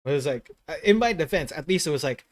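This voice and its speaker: A man's voice: a little high, lively intonation, fast talker. A man hosting a casual gaming stream chat. He rambles, corrects himself mid-sentence and laughs easily. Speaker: host of a gaming channel.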